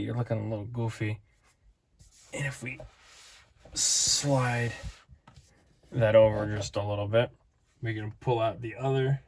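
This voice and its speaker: A man's voice: medium pitch, a little hiss or hum. A man casually reviewing collectible figures, rambling and playful, tired with his voice starting to give out. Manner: rambling, playful, self-interrupting. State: tired, voice fading.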